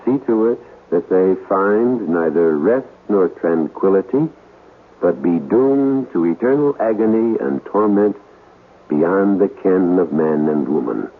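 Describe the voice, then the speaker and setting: An actor playing a grave, insistent ghost hunter in a radio drama, deliberate and solemn in manner, on an old radio recording. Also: male voice, deep voice, lively intonation, heavily acted. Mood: ominous, solemn. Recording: muffled, faintly noisy old radio recording.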